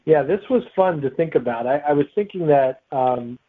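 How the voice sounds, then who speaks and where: A man's voice: on the high side, expressive, moderate pace. A man speaking as a guest author, answering interview questions in a casual, conversational way. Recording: tinny, phone-like line.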